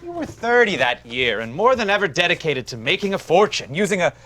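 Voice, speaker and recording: An actor on stage playing a sardonic, needling accuser who narrates dark crimes in a pointed, dramatic way. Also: male voice, high-pitched, very animated, a little fast, a little hiss or hum, exaggerated voice. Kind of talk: period stage play acting. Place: theatre stage. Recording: live theatre stage recording with music.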